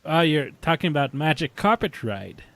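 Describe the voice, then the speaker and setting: A man's voice: a little high, big pitch swings, moderate pace, vocal fry, a bit bright, dry recording. A man co-hosting a podcast in casual chat, often stumbling and repeating words as he goes. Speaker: podcast co-host.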